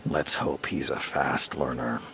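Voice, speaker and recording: An actor playing a stern, battle-hardened older warlord in a video game cutscene, with a gravelly voice and a slow, grave, deliberate delivery. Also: male voice, very deep voice, fairly even intonation, a little hiss or hum, heavily acted. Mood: indignant, hard. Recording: loud music under the voice. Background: loud music.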